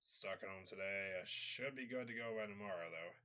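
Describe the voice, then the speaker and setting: A man hosting a casual rant video at home, slightly raspy and sniffly from a cold, rambling with frequent fillers and repeated "so yeah". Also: sits low, brisk, pushed and bright. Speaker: video host.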